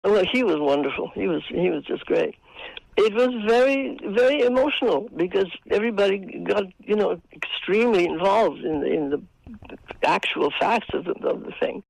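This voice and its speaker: An older woman reminiscing in an interview, halting and emphatic as she speaks. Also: medium pitch, expressive, gravelly, darkish tone, no room sound, rough recording. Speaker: interviewee, actress.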